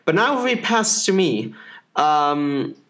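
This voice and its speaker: Higher-pitched Mexican man chatting casually. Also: big pitch swings, slow pace, heavy background noise. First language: Spanish. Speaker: podcast co-host. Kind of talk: casual conversational chat.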